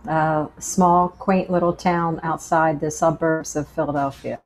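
Low-voiced woman telling her faith story. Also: fairly even intonation, measured pace, rough recording. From Pennsylvania, US. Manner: earnest, anecdotal, repeats words as she restarts. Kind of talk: personal testimony in conversation.

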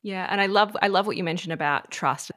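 A woman's voice: mid-range voice, fast, dry recording. Affirming woman host, dry close sound. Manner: warm affirming listener, frequent murmurs. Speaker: podcast host. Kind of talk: podcast interview.

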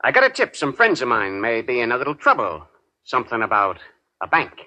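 An actor playing a bullying, domineering small-time crime boss in a 1940s radio drama. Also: male voice, medium pitch, huge pitch swings, fairly quick, sharp tone, full character voice. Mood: needling, curt. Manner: needling, domineering, menacing. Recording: vintage 1940s radio broadcast.

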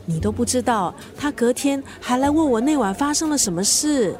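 A woman's voice: high voice, expressive, moderate pace, a little mellow, faint music behind. A woman acting in a radio drama as a teasing, bossy family friend who plays matchmaker. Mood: huffy, teasing.

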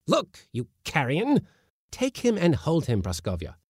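A man's voice: a little high, very animated, slow pace, darkish tone, no echo, studio quality, pitched above the performer's own voice. A man voicing an irascible middle-aged male Latin schoolmaster who blusters and scolds in a raised, strained voice. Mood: commanding.

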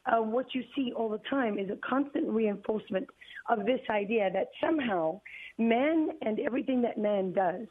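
A woman's voice: fairly high pitch, slightly rough, dry recording. Middle-aged woman on a tinny phone line. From South Africa. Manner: deliberate, emphatic, with many fillers and repeated words. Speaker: guest journalist. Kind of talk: phone-in interview.

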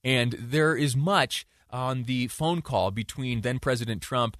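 A man hosting a talk show, giving conversational news commentary in a deliberate way with rhetorical questions and emphatic repetition. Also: mid-range voice, fairly bright tone, dry recording, clean studio sound.

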